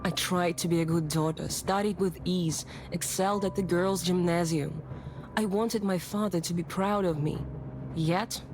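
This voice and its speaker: Woman playing a composed, low-voiced young lady. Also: noisy background, lightly acted. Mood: reflective, wistful. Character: well-bred, self-assured young lady detective telling her past. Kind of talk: visual novel voice acting, narrative dialogue. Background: music.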